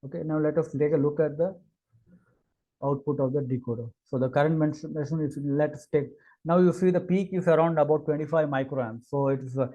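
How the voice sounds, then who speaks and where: A man walking through a technical product demo, talking in a halting way with many fillers and restarts. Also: fairly high pitch, dark tone, muffled recording. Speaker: engineer giving a product demo. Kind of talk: screen-recorded technical walkthrough.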